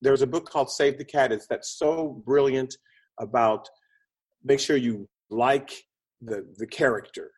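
A man's voice: medium pitch, unhurried, slightly rough. A man speaking conversationally as an interview guest, explaining ideas like a storyteller and acting out quotes. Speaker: guest, film producer and script consultant.

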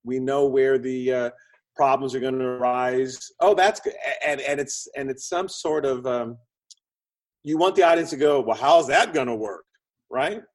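A man speaking as an expert interview guest, explaining conversationally in an animated way with short punchy phrases and a slightly husky voice. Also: medium pitch, moderate pace. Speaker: interview guest, screenwriting consultant and producer.

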